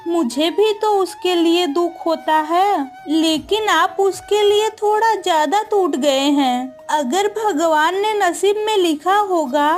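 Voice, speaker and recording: A woman's voice: very high voice, exaggerated voice. A woman voicing a sorrowful but steadfast landlord's wife in a cartoon moral story, pleading and consoling.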